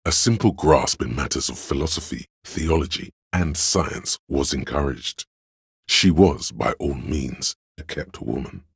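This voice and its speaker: An actor playing an erudite, reverent inner voice of knowledge in a video game, narrating in a measured, grandiloquent way with a deep, gravelly voice. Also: male voice, no room sound, clean studio sound, full character voice. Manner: measured, grandiloquent, savoring words. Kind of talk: dramatic scripted narration.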